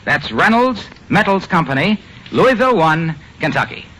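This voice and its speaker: A man's voice: a little high, sing-song, moderate pace, over loud music. An American man announcing in a polished, salesmanlike 1950s radio advertising style. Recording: slightly noisy old radio recording.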